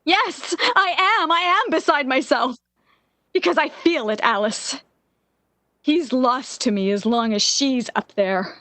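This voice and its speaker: A woman's voice: sits high, slow, pushed and bright, dry recording, exaggerated voice. An actress playing a lovesick, obsessive jilted young woman in the impassioned style of 1940s radio drama. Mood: defiant, distraught. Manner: melodramatic, yearning, impassioned.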